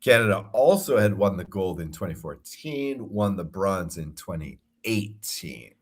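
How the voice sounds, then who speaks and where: A low, husky American man talking sports on a podcast. He takes his time, but his pitch rises and falls a lot as he rambles and chuckles through his points. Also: big pitch swings, unhurried. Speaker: podcast co-host. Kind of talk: casual sports chat.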